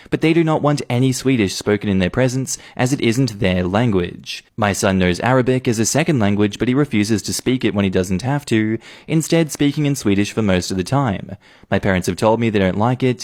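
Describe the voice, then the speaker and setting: Low-voiced man reading posts aloud. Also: fairly quick, darkish tone. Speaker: narrator reading online posts.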